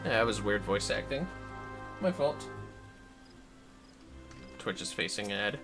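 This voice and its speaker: Animated man chatting on a game stream. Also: lowish voice, slow pace, music in the background. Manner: playful, chatty, mutters asides to the game. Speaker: livestream host playing an online video game. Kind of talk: casual gaming livestream chat.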